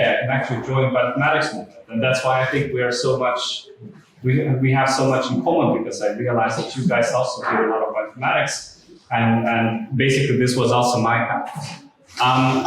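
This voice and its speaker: A man gives a friendly, chatty talk to a room of students. He sounds a bit distant from the mic, with strong echo filling the hall. Faint room noise sits beneath him. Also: mid-range voice. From Ukraine. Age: 30s. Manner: friendly, chatty, self-deprecating. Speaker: lecturer. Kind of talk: informal lecture. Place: lecture venue. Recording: echoey hall, mic far off.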